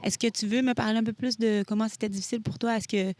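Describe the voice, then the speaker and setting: A woman's voice: a little high, fairly even intonation, quick pace, darkish tone, no echo. Friendly Québécois woman interviewer. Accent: Québécois French. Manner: friendly, encouraging, asks open questions. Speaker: podcast host and interviewer. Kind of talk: casual interview. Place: outdoors in a school park at a festival.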